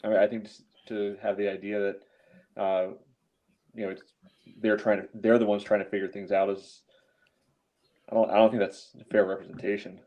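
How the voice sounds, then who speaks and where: A man with a lowish voice is heard in slightly dull, lo-fi audio. He co-hosts a sports podcast, arguing his points casually in choppy, filler-peppered phrases. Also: level tone, normal speed, pauses often. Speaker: podcast co-host. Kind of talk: casual soccer debate.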